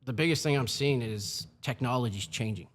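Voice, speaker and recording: A man's voice: mid-range voice, moderate pace, a little hiss or hum. A man in his forties, a firefighting supervisor, talking in an earnest, plain-spoken way with many asides in a casual podcast chat. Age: 40s.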